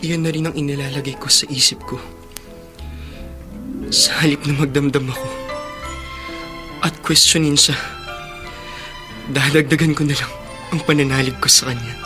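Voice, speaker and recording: A man's voice: on the high side, steady tone, moderate pace, long pauses, music in the background, close to an everyday voice. A man acting an afflicted elder brother in a radio drama, sincere and heavy-hearted. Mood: sorrowful, resolute. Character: earnest, afflicted elder brother clinging to faith.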